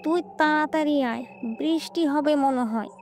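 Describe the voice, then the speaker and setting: A woman's voice: high voice, moderate pace, darkish tone, soft background music, pitched above the performer's own voice. A woman voicing an anxious, pleading older brother in a cartoon horror story. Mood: worried. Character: anxious older brother stranded alone at night.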